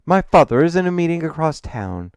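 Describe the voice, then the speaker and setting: A man's voice: medium pitch, a little fast, a little mellow, smooth, no room sound, voice pushed up, close to an everyday voice. A man voicing an earnest, authoritative accountant in an audiobook, speaking in a firm, assertive way. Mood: annoyed. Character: earnest male accountant, authoritative, confident, easily annoyed.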